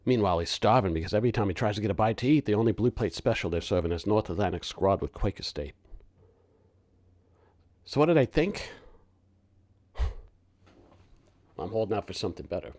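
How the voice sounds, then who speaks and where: Casting: man as smart-mouthed working-class young guy, deep register, sarcastic, defiant, colloquial monologue delivery. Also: lively intonation, a little fast, pauses often, a little mellow. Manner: sardonic, colloquial, mounting rant. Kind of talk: theatrical film monologue.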